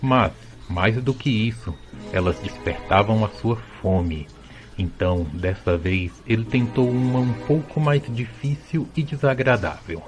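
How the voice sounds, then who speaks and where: A man with a fairly low voice narrates a story for an audio drama. He reads as a scripted storyteller, with music playing behind him. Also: lively intonation, measured pace.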